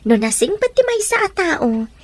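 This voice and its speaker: A woman's voice: extremely high pitch, big pitch swings, moderate pace. An actress playing a humble, earnest young woman in an Ilocano radio drama, with an Ilocano accent. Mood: warm, modest.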